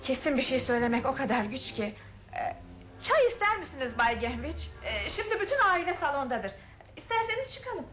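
An actress playing a polite, curious, quick-witted young upper-class woman in a Turkish radio drama. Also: sits high, big pitch swings, a bit bright, soft background music. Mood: speculating. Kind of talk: Turkish radio theater acting.

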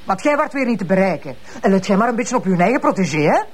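An actress playing a sharp-tongued deputy prosecutor and exasperated wife with a Flemish accent, dry and sarcastic, in a television drama. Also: female voice, medium pitch, sing-song, fast talker. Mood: accusing. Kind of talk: naturalistic television drama dialogue.

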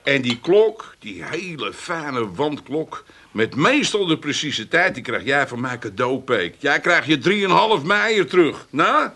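A man's voice: a little high, big pitch swings, unhurried, sharp tone, heavily acted. An actor playing a shifty, chummy Amsterdam fence in a comic radio play, hedging and wheedling as he talks. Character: shifty, chummy Amsterdam fence selling fake clocks, sheepish burglar.

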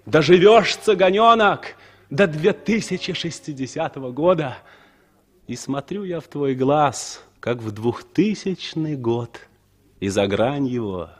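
A man's voice: on the high side, expressive, measured pace. An actor playing a dreamy, impassioned traveller who narrates his thoughts as a poetic, declamatory monologue in a 1960s radio play. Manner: declamatory, reflective.

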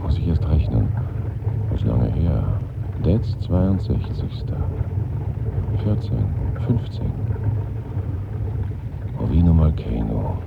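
Very deep, gravelly, slow brooding man. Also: big pitch swings, heavily acted. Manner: fragmentary, halting, musing. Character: brooding man lost in murmured inner thoughts about death. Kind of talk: radio drama interior monologue. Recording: muffled old radio recording, heavy noise.

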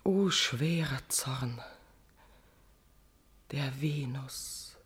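An actress playing a guilt-tormented, passionate queen in a classical verse tragedy for radio, declaiming with shifts between hushed and forceful delivery. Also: extremely low pitch, extremely slow, husky, exaggerated voice. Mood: guilty despair. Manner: declamatory, swinging from whispers to outbursts.

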